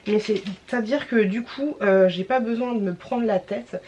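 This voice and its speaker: A woman's voice: medium pitch, a little slow, a little mellow, highs cut off, a little hiss or hum. A young woman with a cold, talking casually to her vlog audience in a tired, stuffy voice, rambling with many fillers and restarts. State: has a cold. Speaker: vlogger.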